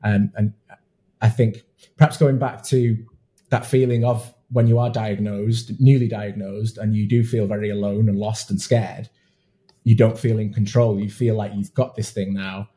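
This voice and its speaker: A man's voice: lowish voice, flat delivery, moderate pace, mellow. A man hosting an interview, chatty and affirming, often restarting his sentences. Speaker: podcast host. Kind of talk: conversational interview.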